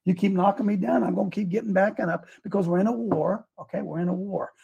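An older man hosting a morning talk show, with a gravelly, hoarse voice, folksy and emphatic, repeating his phrases as he preaches. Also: sits high, fairly even intonation, fast, warm tone, highs cut off. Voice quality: gravelly, raspy voice. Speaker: host.

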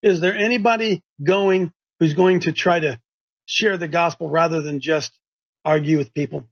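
Emphatic high-voiced man preaching. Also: fairly even intonation, normal speed, muffled recording. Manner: preachy, emphatic, repeats himself. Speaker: host and street preacher. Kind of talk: fervent religious talk.

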